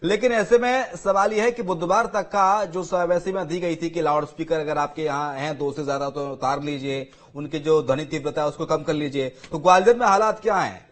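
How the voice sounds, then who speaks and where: A man's voice: a little high, fairly even intonation, brisk, few pauses. A male news anchor interviewing field reporters live on air.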